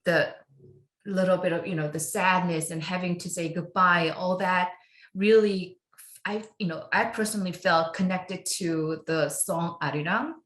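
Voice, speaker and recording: A woman from Korea, a film composer, talking through her story in an unhurried podcast interview, with fillers and frequent laughs. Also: lowish voice, steady tone, a bit bright, roomy sound. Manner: unhurried, chatty, laughs often, many fillers.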